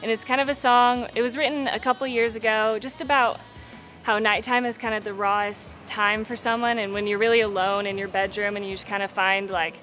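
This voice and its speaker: A woman's voice: a little high, flat delivery, fairly quick, fairly bright tone, rough recording. Young woman musician chatting over music. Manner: conversational, peppered with "like" and "you know". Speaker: indie singer-songwriter. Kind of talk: casual, candid interview talk.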